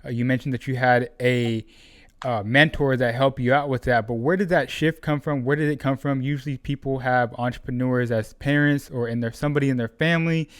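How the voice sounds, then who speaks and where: A man's voice: medium pitch, no echo, studio quality. A man hosting a podcast, asking loose, casual questions with restarts. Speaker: podcast host. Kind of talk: casual interview.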